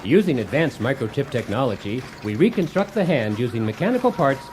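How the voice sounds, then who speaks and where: A man's voice: medium pitch, a little fast, slight background noise, close to an everyday voice. A man playing a chipper, unflappable husband who hosts a comic do-it-yourself radio show in a cheerfully formal instructional way. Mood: chipper, instructive. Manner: cheerfully formal instructional delivery, calm amid disasters. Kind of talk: radio comedy sketch acting, parody how-to narration.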